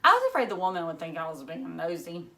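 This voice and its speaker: A woman narrating a children's novel aloud in an expressive read-aloud style. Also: fairly low pitch, fast, vocal fry.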